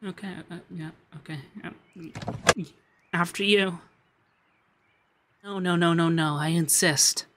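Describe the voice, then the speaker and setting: A man playing a shy, sweet young femboy heir in an ASMR roleplay, hesitant and gently flustered, stammering as he talks. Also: on the high side, fairly even intonation, takes it slowly, long pauses, heavy background noise. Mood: nervous, polite. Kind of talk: ASMR roleplay audio drama.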